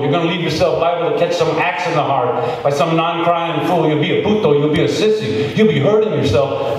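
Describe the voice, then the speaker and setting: A man reading poetry aloud as a spoken-word performance, impassioned and emphatic, with incantatory repetition. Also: fairly high pitch. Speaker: poetry reader. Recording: very echoey reverberant hall, distant mic, noisy.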